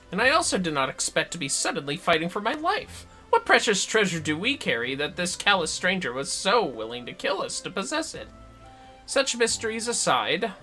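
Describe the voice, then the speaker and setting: A man's voice: a little high, sharp tone. A man voicing a courteous, earnest, scholarly summoner from a fantasy game, speaking formally and articulately. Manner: formal, articulate, measured wording.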